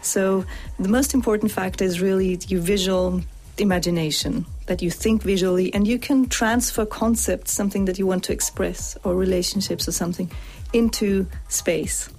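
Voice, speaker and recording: A woman linguist answering interview questions in a steady, explanatory way. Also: medium pitch, moderate pace, faint music behind.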